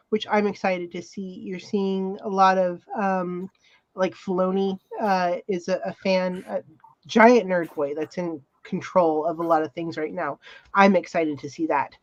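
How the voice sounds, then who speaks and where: A woman chatting casually as a panelist, halting and often repeating herself. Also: medium pitch, no echo, lo-fi sound. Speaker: podcast panelist. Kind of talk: casual chat.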